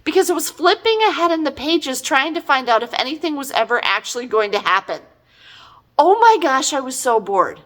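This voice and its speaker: A woman's voice: sits high, very animated, a little fast, a bit bright. A middle-aged woman giving a casual, chatty book review in an exasperated, emphatic, conversational way.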